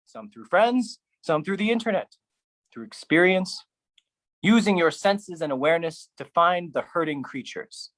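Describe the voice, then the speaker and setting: Solo monologue performer: theatrical, intense spoken word, unhurried delivery, mid-range voice. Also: expressive, a bit bright, no echo. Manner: theatrical, intense, swinging between low musing and raised outbursts.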